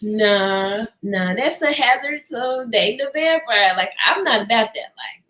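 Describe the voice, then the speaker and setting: Bright, higher-pitched American woman in casual chat. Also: animated, a little slow. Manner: informal, slangy, conversational. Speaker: book discussion co-host. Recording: noticeable background noise.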